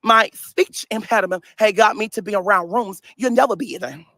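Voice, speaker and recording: A man's voice: high-pitched, normal speed, pushed and bright, no echo, studio quality. A man in his thirties from St. Louis with a speech impediment, ranting emphatically and laughing often in a casual vlog. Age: 30s. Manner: emphatic, repetitive, laughs often while talking. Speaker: social media personality. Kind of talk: casual livestream-style rant.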